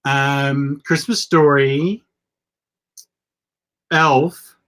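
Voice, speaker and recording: A middle-aged man hosting a live chat show, sick, with a gravelly voice, rambling and correcting himself as he talks. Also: medium pitch, steady tone, extremely slow, fairly bright tone. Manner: rambling, self-correcting, chatty. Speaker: podcast host.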